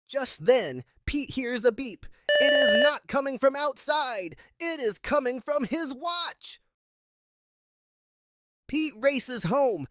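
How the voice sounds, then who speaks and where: A woman reading a children's picture book aloud in simple, expressive narration. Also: high-pitched, slow. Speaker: read-aloud storyteller.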